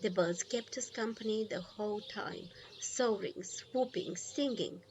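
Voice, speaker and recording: A woman reading a children's storybook aloud in an expressive, deliberate way, leaving pauses between phrases. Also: medium pitch, lo-fi sound, slight background noise. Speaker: storybook reader.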